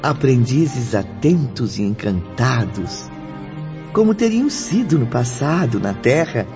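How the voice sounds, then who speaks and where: A man's voice: medium pitch, big pitch swings, slow pace. A man with a Brazilian accent reading scripted, literary narration for a radio drama. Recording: dull audio under clear music.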